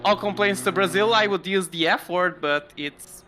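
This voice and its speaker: A man's voice: sits high, moderate pace, bright, forceful tone, faint music behind. A man co-commentating a sim race on a live stream, playful and often laughing.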